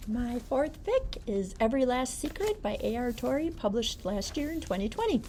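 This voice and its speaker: A woman's voice: fairly high pitch, very animated, moderate pace, darkish tone, slight background noise. A woman, a librarian, reading out book summaries and adding casual comments of her own. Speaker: librarian presenting book picks.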